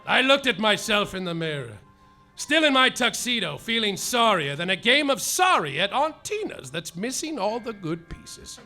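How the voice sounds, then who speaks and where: A man's voice: high-pitched, sing-song, moderate pace, sharp tone, faint music behind, heavily acted. An actor playing a hapless, overwrought private detective narrating his misfortunes in a live comedy radio play. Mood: mopey. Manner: melodramatic noir narration, overwrought. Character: hapless hard-boiled male private detective. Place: theater stage, live.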